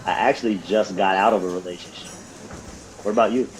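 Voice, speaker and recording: A man's voice: on the low side, fairly even intonation, fairly quick, slight background noise, natural voice, rough recording. A man acting in an audio drama as a friendly paralegal on a coffee date, easygoing and conversational. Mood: friendly, interested.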